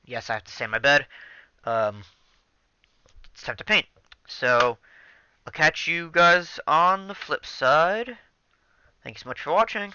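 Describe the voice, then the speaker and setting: A man's voice: on the high side, expressive, unhurried, long pauses, sharp tone, clean tone, highs cut off. A young man casually talking over his gameplay into a headset mic, rambling with many ums and restarts. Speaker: gaming channel host.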